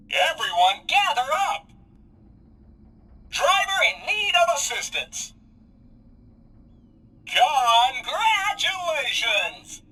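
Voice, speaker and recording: A cheerful robot bus driver from a video game, with a bright, raspy mechanical voice. The pitch swoops up and down as it chirps out slow, sing-song public announcements. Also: male voice, full character voice. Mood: alarmed. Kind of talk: video game character voice lines.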